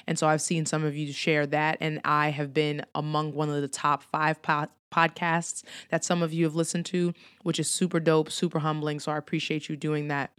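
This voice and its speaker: Low-voiced woman hosting a podcast. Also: little pitch movement, moderate pace, few pauses, smooth, no room sound, studio quality. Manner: earnest, encouraging, repeats phrases for emphasis. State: under the weather, voice a little off. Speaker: podcast host. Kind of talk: solo podcast talk.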